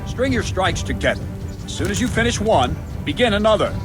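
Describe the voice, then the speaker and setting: Stern medieval captain, animated, music behind. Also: male voice, high voice, brisk. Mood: firm, instructive. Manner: commanding, clipped instruction. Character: stern, gruff medieval captain drilling a recruit. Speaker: combat trainer, captain of the guard. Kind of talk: video game cutscene acting. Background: background music.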